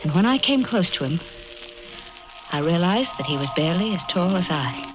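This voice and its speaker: An actress playing a genteel, coolly murderous wife in a 1940s radio horror play, speaking with measured, wistful deliberation on a muffled old recording with music behind. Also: female voice, on the low side, very animated. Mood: eerily calm. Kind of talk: 1940s radio acting, first-person horror narration.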